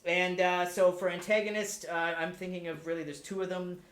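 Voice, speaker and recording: A man chatting casually on a podcast as a guest, explaining things in a halting, rambling way with repeated words. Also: high-pitched, fairly even intonation, fairly bright tone. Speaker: podcast guest pitching a film adaptation. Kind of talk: casual chat. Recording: echoey room.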